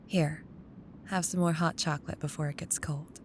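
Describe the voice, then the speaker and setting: A woman playing a caring, wistful young childhood best friend in an intimate, gently teasing ASMR roleplay. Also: fairly even intonation, lightly acted. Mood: tender. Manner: tender, unhurried, teasing. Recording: audible low rumbling background noise.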